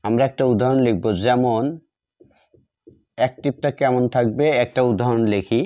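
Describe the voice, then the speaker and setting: A man's voice: medium pitch, fairly even intonation, moderate pace, dark tone, lo-fi sound. A man teaching a grammar lesson step by step, dictating in short, repeated phrases as he writes.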